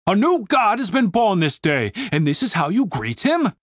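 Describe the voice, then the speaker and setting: A narrator voicing a stern, righteous male commander with a booming, formal and pompous manner in an audiobook. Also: very animated, normal speed, dry recording, clean studio sound. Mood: outraged.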